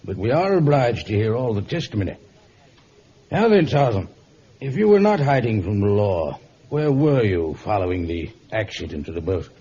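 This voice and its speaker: A man's voice: lowish voice, big pitch swings, normal speed, warm tone. A man playing a stern, formal judge with an authoritative, stately manner in a vintage radio adventure serial. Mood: formal, measured. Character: stern, formal colonial courtroom judge losing patience. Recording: muffled, faintly noisy old radio recording.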